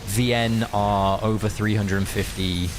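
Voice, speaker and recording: A man's voice: lowish voice, flat delivery, slow. A man livestreaming a game, chatting casually and reading aloud in a laid-back, matey, jokey way. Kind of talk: casual chat and read-aloud game commentary. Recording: faint hiss.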